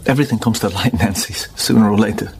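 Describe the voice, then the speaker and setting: An actor playing a middle-aged gentleman husband weighed down by guilt in a radio drama, speaking gravely and haltingly. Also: lowish voice, slight background noise, lightly acted. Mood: guilty, resolute.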